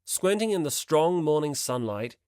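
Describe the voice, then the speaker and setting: A man's voice: on the high side, animated, moderate pace, darkish tone, dry recording, studio quality. A man reading scripted story narration expressively as an audiobook narrator.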